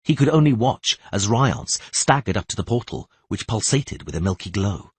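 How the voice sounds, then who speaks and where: A man's voice: lowish voice, sing-song, normal speed, slightly rough, dry recording. A man narrating a fantasy audiobook in a dramatic, scripted storytelling style.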